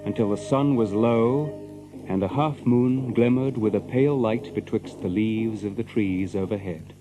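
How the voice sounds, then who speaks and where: An actor as the narrator of an old English legend, reading dramatic storybook prose in an archaic style. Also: male voice, lowish voice, unhurried, lightly acted, rough recording. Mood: calm. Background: music.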